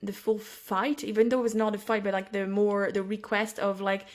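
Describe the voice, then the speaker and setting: French woman chatting in english, accent, keeps restarting and hunting for the right word, mid pitch. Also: flat delivery, moderate pace. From France. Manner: searching for words, many restarts. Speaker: podcast host. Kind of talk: casual conversation. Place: home, at night.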